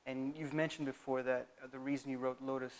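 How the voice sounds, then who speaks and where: A man's voice: medium pitch, flat delivery, fast talker, rough recording. A young man, a student interviewer, asking questions in a friendly, encouraging way with frequent short affirmations. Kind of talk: casual interview.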